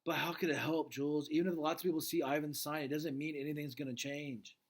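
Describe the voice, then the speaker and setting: A man's voice: on the high side, steady tone, fast talker, lightly acted. A man voicing a weary, reluctant, skeptical father in a storybook read aloud. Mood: doubtful.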